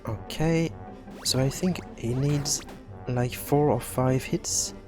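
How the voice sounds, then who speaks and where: Male game developer for a programming screencast: mid-pitched, casual, halting and repeating himself, faint music behind. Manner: halting, thinking aloud with repeated words. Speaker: tutorial host and game developer.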